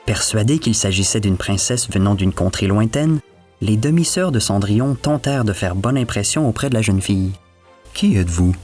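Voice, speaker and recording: Guy narrating a fairy tale, lowish voice, bit of music behind. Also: animated, a little fast, a little mellow. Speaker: storyteller, narrator.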